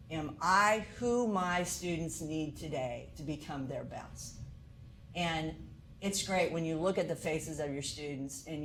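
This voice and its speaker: A woman's voice: low-pitched, a little hiss or hum, low-quality recording. Earnest woman instructor lecturing teachers. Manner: earnest, many rhetorical questions. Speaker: college instructor.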